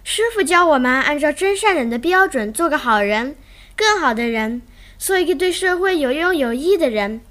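A woman's voice: high voice, animated, normal speed, fairly bright tone. A woman voicing an innocent, earnest little girl in a radio drama, explaining eagerly.

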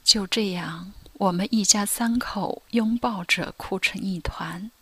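A young woman narrating a radio drama in first person, soft and confessional, often near a whisper. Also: medium pitch, takes it slowly, slightly rough, no room sound. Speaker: narrator and lead. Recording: dry studio voice with faint music.

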